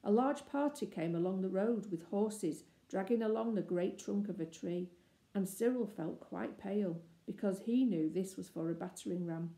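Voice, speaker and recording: Very mellow woman reading stories, echoey room. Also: mid-range voice, moderate pace. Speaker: storybook reader. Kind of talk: expressive narration of a children's story. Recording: roomy, echoey indoor sound.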